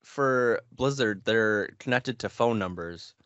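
A man's voice: fairly low pitch, takes it slowly, fairly bright tone, clean tone, dry recording. A man chatting casually on a gaming podcast, wry and resigned. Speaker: podcast host. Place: small bedroom, indoors. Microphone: headset on a video call. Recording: slightly dull, lo-fi online call.

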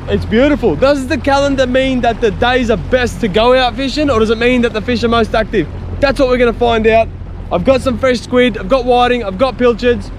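High-pitched young Australian man, noisy outdoor recording. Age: young adult. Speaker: fishing vlogger. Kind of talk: casual vlog commentary to viewers. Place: outdoors on a small open boat at sea.

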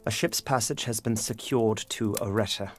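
A man's voice: on the low side, faint music behind, close to an everyday voice. A man playing a grave, anguished father. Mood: resigned, firm. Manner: grave, deliberate, impassioned. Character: anguished, guilt-ridden father, stern and protective. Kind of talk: period audio drama acting.

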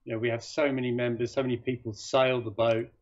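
Halting male guest on a video call. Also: medium pitch, level tone. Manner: hesitant, many restarts. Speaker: sailing class chairman, guest. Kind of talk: casual video-call interview. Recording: dull video-call audio.

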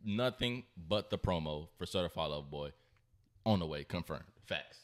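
Low-voiced young American man, insistent. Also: lively intonation, moderate pace. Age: young adult. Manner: insistent, repeats his points. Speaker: podcast host. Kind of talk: casual debate among friends. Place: living room, on a couch. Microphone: podcast microphone on a stand, close.

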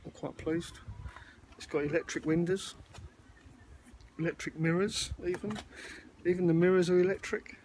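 A plain-spoken man talks casually into a handheld camera mic while walking around vans outside. His voice sits a little high for a man, with a faint hiss of background noise underneath. He rambles along with plenty of fillers. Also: fairly even intonation, moderate pace, pauses often, a little mellow. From United Kingdom. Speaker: vlogger. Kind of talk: casual walkaround vlog.

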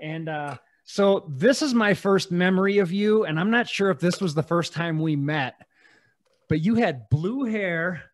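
A man's voice: high voice, measured pace. A man in his forties hosting a podcast, chatting casually and laid-back into a studio mic. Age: 40s. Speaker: podcast host. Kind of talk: casual interview chat. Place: indoor room. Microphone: studio mic and headphones.

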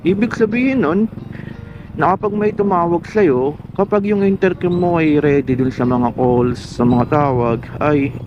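A Filipino man with a fairly high-set voice talks in a casual, conversational explainer style, addressing the viewer directly. The sound is a bit muffled and lo-fi, with music faintly playing underneath. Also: a little slow. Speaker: motovlogger.

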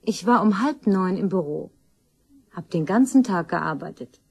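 An actress playing a chatty young office worker in a German crime radio play, talking candidly and colloquially. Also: female voice, on the low side, animated, measured pace, gravelly, a little mellow, husky, full character voice. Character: chatty, slangy young office woman questioned by police.

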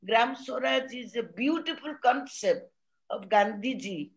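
An Indian woman with a fairly high voice gives an earnest, explanatory talk over a video call, pausing often in the middle of her sentences. She speaks as a presenter at an academic conference. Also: lively intonation. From Odisha, India.

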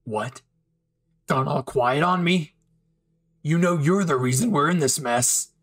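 Man acting unhinged, bitter villain, slow and menacing. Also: a little high. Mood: quiet, menacing. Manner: menacing, taunting, swinging from quiet to outbursts. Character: unhinged, bitter male villain nursing an old betrayal. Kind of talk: dramatic anime fan roleplay audio.

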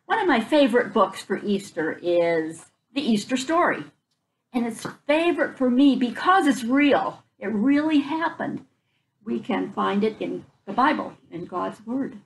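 Older woman storyteller with a creaky, higher voice. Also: animated, a little slow, a little hiss or hum, low-quality recording. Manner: explanatory asides in simple words. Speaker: children's story reader. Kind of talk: reading a picture book aloud to children. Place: outdoors on a front porch. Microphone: camera a few feet away.

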